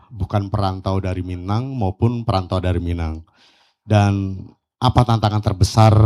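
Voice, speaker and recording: A man's voice: lowish voice, warm tone, smooth. A male journalist politely asking long questions at a press conference, hesitating with fillers.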